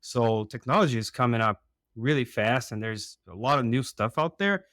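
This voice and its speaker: A man's voice: mid-range voice, animated, normal speed, fairly bright tone, no echo, clean studio sound. A man with a Brazilian accent mixed with a Minnesotan one, a dairy consultant chatting casually and upbeat on a podcast, sometimes repeating words as he starts a thought. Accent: Brazilian Portuguese mixed with Minnesotan.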